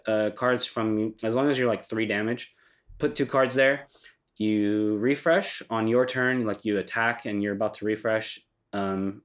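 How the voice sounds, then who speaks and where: A young man with a stuffy, congested voice from a cold, hosting a casual walkthrough and talking loosely with ums and self-corrections. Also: medium pitch. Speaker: channel host reviewing a card game deck.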